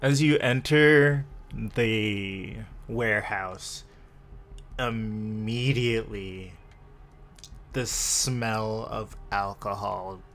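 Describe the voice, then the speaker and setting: A man narrating a tabletop roleplay game as game master, descriptive and measured, with long pauses. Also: fairly low pitch, fairly bright tone, slight background noise. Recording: music bed under the voice. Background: music.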